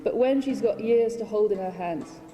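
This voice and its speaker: A woman giving a dramatic poetry reading, measured and deliberate, with weighted pauses. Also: mid-range voice, warm tone. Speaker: reader of own poetry translation. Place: large hall at a public reading. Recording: reverberant hall with plucked guitar music. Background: plucked string music.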